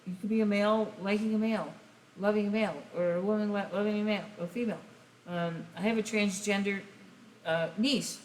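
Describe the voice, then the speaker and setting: A woman giving a casual tarot reading, rambling and speaking straight to the listener, her voice going hoarse. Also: medium pitch, steady tone, fairly quick. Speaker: tarot reader. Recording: echoey room, faint hiss.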